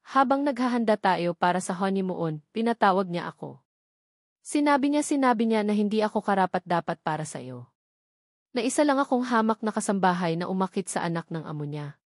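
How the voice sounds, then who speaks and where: Woman acting anguished young wife, halting and trembling. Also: medium pitch, lively intonation, no room sound, studio quality, lightly acted. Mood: bitter, fearful. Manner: halting, trembling, repeats words. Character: anguished, fearful young wife, a former housemaid, self-sacrificing.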